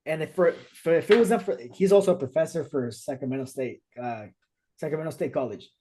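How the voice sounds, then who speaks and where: Higher-voiced man telling his story. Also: brisk, dark tone, slight background noise. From United States. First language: Spanish. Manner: earnest storytelling, many restarts. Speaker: podcast host. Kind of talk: casual conversation. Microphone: video call.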